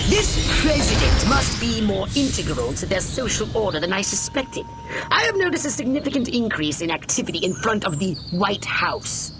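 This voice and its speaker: Scheming alien commander, formal, disdainful, animated pitch, loud music behind, game briefing. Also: male voice. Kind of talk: video game mission briefing acting.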